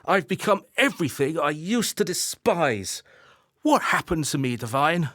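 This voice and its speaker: A man's voice: a little high, big pitch swings, unhurried, pushed and bright, no echo, clean studio sound, exaggerated voice. A man playing a weak, disillusioned, self-loathing husband in a radio play, rueful and full of self-pity. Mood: ashamed, rueful.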